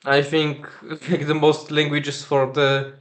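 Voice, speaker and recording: A man from Silesia in Poland, whose first language is Silesian, talks in halting English with a Polish accent, pausing and filling gaps as he vlogs. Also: fairly high pitch, completely flat delivery. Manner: hesitant, halting non-native English with frequent fillers. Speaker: vlogger. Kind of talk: casual vlog monologue.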